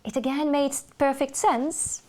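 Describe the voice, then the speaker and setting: A Hungarian woman speaking English as a podcast guest, telling stories thoughtfully with many pauses and restarts. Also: high-pitched, fairly even intonation, darkish tone. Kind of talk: casual interview chat.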